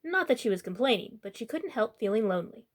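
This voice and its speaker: A woman's voice: fairly high pitch, big pitch swings, fast talker. A woman hosting a storytime, reading a children's picture book aloud as narrator.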